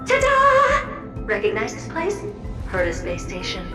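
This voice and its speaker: A fawning floating puppet from a video game, high-pitched and theatrical, her voice swooping up and down as she narrates. She sounds roomy and set back from the mic, with music playing behind. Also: unhurried, recorded from a distance. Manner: theatrical, flattering, playful storyteller.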